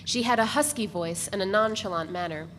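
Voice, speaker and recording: A woman's voice: medium pitch, a little fast, a bit bright. A woman giving a literary narration as part of a dramatic reading of a novel. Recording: noisy, reverberant hall recording.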